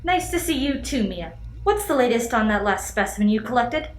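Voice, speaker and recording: A woman's voice: on the high side, animated, brisk, a little hiss or hum, close to an everyday voice. A young woman playing an impatient, blunt spaceship crew member who is pressing and sarcastic. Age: teens.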